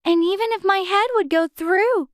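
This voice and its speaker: A woman's voice: extremely high pitch, moderate pace, no echo, studio quality. A woman voicing a curious, self-scolding little girl in a dramatized storybook audiobook. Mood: wistful. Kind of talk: dramatized audiobook character acting.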